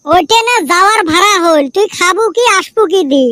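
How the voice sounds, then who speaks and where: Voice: very high voice, fairly quick, bright, forceful tone, no room sound, clean studio sound. A performer voicing a grumbling, teasing young village friend in a comedy cartoon, with a northern Bengali accent. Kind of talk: comic cartoon dialogue.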